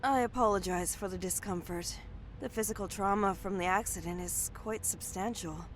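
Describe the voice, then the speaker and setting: A woman playing a caring but firm doctor in an audio drama, speaking formally and gently. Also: medium pitch, moderate pace, slight background noise, close to an everyday voice. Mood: courteous.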